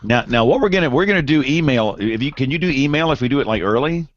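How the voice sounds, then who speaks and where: A man's voice: medium pitch, lively intonation, fast, lo-fi sound. A man hosting a weather podcast in a studio, with loose, laughing banter. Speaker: podcast host. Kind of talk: casual chat and show opening. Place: broadcast studio.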